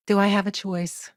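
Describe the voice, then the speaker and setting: An actress voicing a middle-aged, principled and determined lawyer in a video game, with a dry, wry, matter-of-fact way of talking. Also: female voice, medium pitch, no echo, lightly acted. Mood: dry, businesslike. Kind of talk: video game character dialogue.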